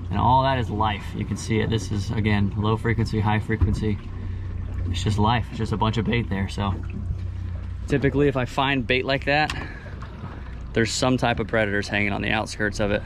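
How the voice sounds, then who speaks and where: A young man chats casually about fishing from an open boat offshore, relaxed and easygoing in his explaining. His voice comes through an action camera mounted on the boat, and the recording is buried under loud wind and engine noise from the water. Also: on the low side, a little fast. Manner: laid-back, excitable when a fish bites. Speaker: fishing guide and vlogger.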